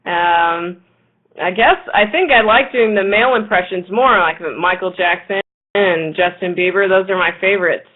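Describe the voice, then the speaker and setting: A woman guest from Los Angeles talks in a halting, modest way, doubling back on her words as she answers. Her voice sits at an ordinary pitch. The sound is thin and tinny, like a call coming over a phone line. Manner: hesitant, repeats words, modest. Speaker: guest comedian. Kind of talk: casual interview chat.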